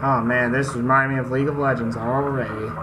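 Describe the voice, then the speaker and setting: A man's voice: medium pitch, little pitch movement, fairly quick, background noise. A man hosting a gaming video, moving between casual slangy commentary and reading a story aloud. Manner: slangy, informal asides. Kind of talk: casual let's play with read-aloud story narration. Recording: game music behind the voice. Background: video game music.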